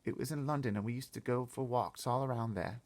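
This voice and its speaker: A man's voice: medium pitch, a little fast, a little mellow, pitched above the performer's own voice, natural voice. A man voicing a bossy, eager little girl in a storybook read-aloud, explaining things emphatically and confidently.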